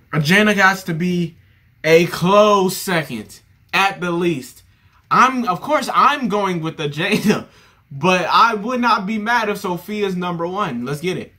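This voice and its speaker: A man's voice: high-pitched, measured pace, sharp tone. A young man giving casual, joking reaction commentary over a video, often repeating himself. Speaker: reaction streamer.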